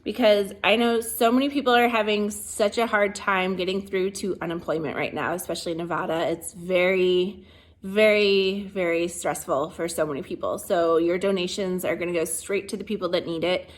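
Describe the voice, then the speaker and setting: A woman's voice: fairly low pitch, steady tone, moderate pace. A woman hosting an informal show, introducing performers and asking them questions in a casual way. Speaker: show host. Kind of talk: casual spoken introduction and interview.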